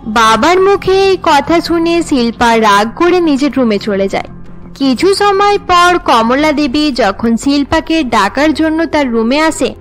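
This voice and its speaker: A woman with a high-pitched voice narrates a cartoon tale. Her delivery is scripted storytelling, set over faint music.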